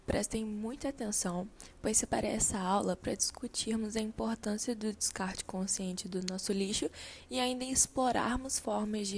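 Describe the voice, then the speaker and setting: Brazilian female teacher played by an amateur actress for radio play: kindly, instructive, gravelly edge, slight noise behind. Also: fairly high pitch, a little mellow, natural voice. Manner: didactic, encouraging. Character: female schoolteacher, kindly, guiding a classroom discussion. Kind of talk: scripted amateur radio drama.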